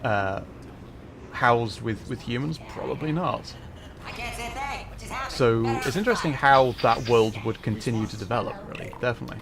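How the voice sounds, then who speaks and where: A man chatting casually as he streams a game, musing in a halting way and often trailing off. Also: mid-range voice, creaky, noisy background. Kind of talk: casual livestream chat while gaming. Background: game music.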